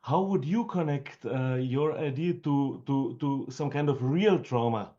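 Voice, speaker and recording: A man from Austria asks a careful, polite question over a video call, stumbling and repeating words. His voice sounds mellow and dark, and the line is muffled and lo-fi. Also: mid-range voice, unhurried. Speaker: audience member asking a question, psychotherapist. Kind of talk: question in an online Q&A.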